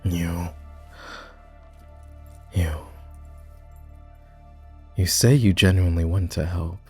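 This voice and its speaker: Proud, bitter war-hero knight for fantasy roleplay ASMR, male actor; lowish pitch, sardonic delivery, soft music underneath. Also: fairly even intonation, slow, creaky, slightly rough, heavily acted. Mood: incredulous, bitter. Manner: scornful, dramatic, sardonic.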